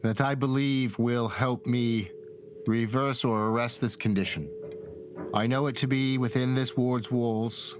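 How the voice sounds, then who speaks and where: A man playing a dying middle-aged clergyman and mentor in a tabletop game, weary and earnest, speaking in a halting, grave, formal way. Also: medium pitch, fairly even intonation, lightly acted. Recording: music behind the voice.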